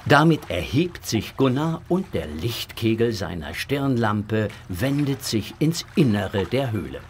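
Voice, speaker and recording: A man's voice: on the low side, big pitch swings, a little slow, slight background noise. A man narrating a children's audio drama from a script, in the present tense.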